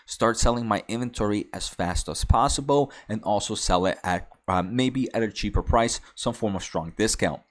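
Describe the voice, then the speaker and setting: A man with a fairly low voice presents financial commentary as the host of an online video. He explains step by step in an informal, conversational way, speaking directly to viewers. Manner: informal, walks through numbered questions step by step. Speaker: host of a stock investing video channel.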